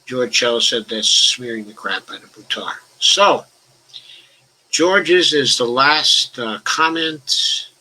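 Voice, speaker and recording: A man's voice: medium pitch, lively intonation, takes it slowly, pushed and bright, low-quality recording. An older man hosting a casual live-stream talk, reading out viewer comments and answering, with occasional stutters and chuckles. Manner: talks about his own stutter, some restarts. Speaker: host of a political discussion show.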